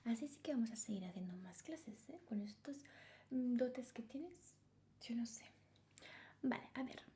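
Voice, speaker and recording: A woman's voice: fairly high pitch, lively intonation, a little slow, mellow. A woman from whispering a patient, praising English lesson as an ASMR roleplay tutor. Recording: clear keyboard typing noise.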